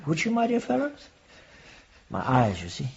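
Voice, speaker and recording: An actor playing a kindly, talkative elderly clergyman in a radio drama, with a husky voice and courtly, old-fashioned speech. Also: on the high side, huge pitch swings, normal speed, a little mellow, dull audio, slight background noise, exaggerated voice. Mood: fussing, kindly. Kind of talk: theatrical radio drama acting.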